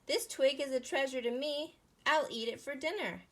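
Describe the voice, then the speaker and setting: A woman voicing eager young animal friends in a children's storybook, with simple, excited declarations. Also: high voice, normal speed, voice pushed up. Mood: excited, pleased.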